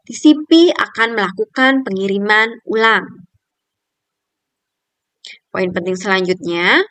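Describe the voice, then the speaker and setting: A woman's voice: medium pitch, fairly bright tone, dry recording. A young adult woman reading a scripted article review in a careful, point-by-point manner, like a student presenter.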